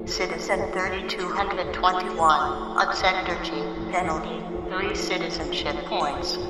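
A woman's voice: fairly low pitch, steady tone, measured pace, natural voice. A woman playing an impersonal announcer system of a dystopian regime in a radio drama, clipped, detached and official. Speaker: automated announcer. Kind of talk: binaural radio drama, formal public announcements. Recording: echoey, distant, music underneath. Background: loud music.

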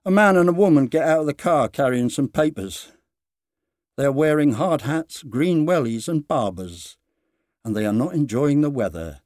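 A man giving a dramatised, deliberate and expressive reading of a literary short story. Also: fairly high pitch, no room sound, clean studio sound. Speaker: story reader.

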